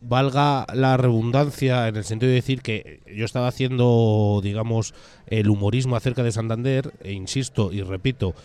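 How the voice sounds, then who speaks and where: A man's voice: medium pitch, fairly even intonation, normal speed, darkish tone, clear, resonant tone. A man with an accent from Spain interviewing on the radio in a casual, rambling way.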